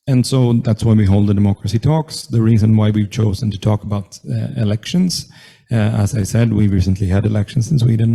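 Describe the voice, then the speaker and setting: A man moderating a panel event, giving formal opening remarks in a halting way, full of fillers and restarts. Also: on the low side, vocal fry, mellow, husky. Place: event room with audience.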